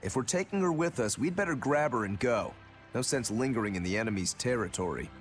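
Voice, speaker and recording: A man's voice: mid-range voice, animated, brisk, natural voice. An actor playing a pragmatic, businesslike male fighter in a video game, talking matter-of-factly. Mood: brisk, practical. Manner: matter-of-fact. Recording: music behind the voice.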